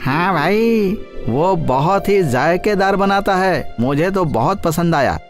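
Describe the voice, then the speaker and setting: A man voicing an enthusiastic office worker in an animated story. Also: sits high, slow, music in the background, exaggerated voice. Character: enthusiastic male office worker praising food. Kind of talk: animated moral story dialogue.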